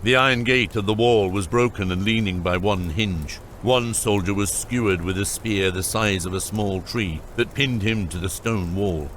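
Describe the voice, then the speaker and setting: A man's voice: lowish voice, moderate pace, few pauses, a bit bright, slight background noise. A man reading scripted narration for an epic fantasy audiobook.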